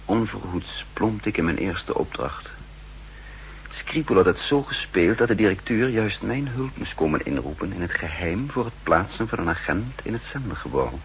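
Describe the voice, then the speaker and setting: A guarded, wry spymaster, faint hum. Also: male voice, deep voice, lively intonation, normal speed, low-quality recording. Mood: confiding narration. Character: male undercover spymaster, guarded, wry, outwardly genial. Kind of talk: 1960s Dutch radio drama acting and first-person narration. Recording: 1960s radio recording, faint hum and noise.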